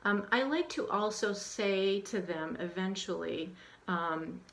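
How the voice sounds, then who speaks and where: A woman's voice: mid-range voice, slow pace. A woman giving an informal instructional talk on reading aloud to children, conversational with frequent ums and restarts. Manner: conversational, many ums, acts out questions to children in a hushed, animated voice. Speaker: teacher trainer.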